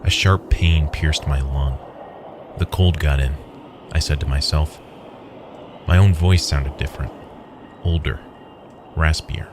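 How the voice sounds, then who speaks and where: A man narrating a first-person horror story in a measured, dramatic way. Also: a bass voice, background noise. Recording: loud music under the voice. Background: loud music.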